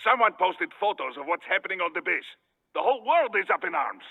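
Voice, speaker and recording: An actor playing an urgent mission-support operator in a video game, brisk and informal, heard through a radio comms filter. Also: male voice, high-pitched, sing-song, vocal fry, heavily acted. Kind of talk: video game cutscene acting. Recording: thin, phone-like radio with reverb.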